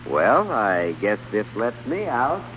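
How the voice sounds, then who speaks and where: A dull, faintly noisy old radio recording. an ambitious man of science with very lively intonation, in vintage radio drama style. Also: on the low side, slow pace, full character voice. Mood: resigned. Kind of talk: 1930s radio drama acting.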